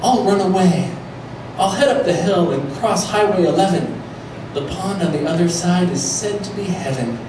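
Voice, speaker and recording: A man's voice: sits high, animated, moderate pace, pitched above the performer's own voice. A man voicing a hapless, put-upon young male duck, plaintive and aggrieved, in a storybook reading. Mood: hurt, defiant. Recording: noisy echoey live stage, far mic.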